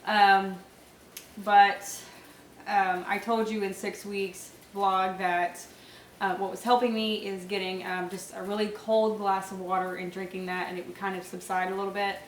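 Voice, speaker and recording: A woman's voice: mid-range voice, little pitch movement, unhurried, reverberant, recorded from a distance, slight background noise. Congested young woman chatting casually. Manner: chatty, many fillers and small laughs. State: congested, nasal, sore throat. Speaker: vlogger. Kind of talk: casual pregnancy update vlog.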